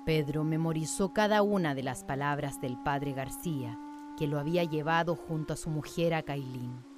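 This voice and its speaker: A woman narrates a radio play as a literary storyteller. Her voice is very low for a woman. Music runs clearly beneath the narration. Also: normal speed. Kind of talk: scripted radio drama narration.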